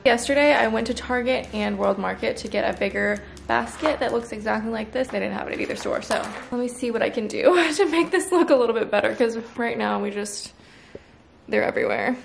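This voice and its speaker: Brief: bubbly young woman vlogger, slightly high voice with some vocal fry, faint room hiss. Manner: bubbly, laughs often, lots of "like". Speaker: lifestyle vlogger. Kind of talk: casual chatty vlog. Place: home kitchen and living room. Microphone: handheld vlog camera.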